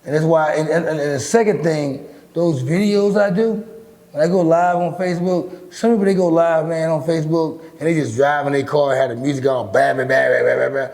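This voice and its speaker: Raspy middle-aged guy, loud and blunt, cussing a lot, voice sits a bit high. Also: normal speed. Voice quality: deep raspy voice. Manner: blunt, profane, animated storytelling. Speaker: interview guest. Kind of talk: casual interview talk.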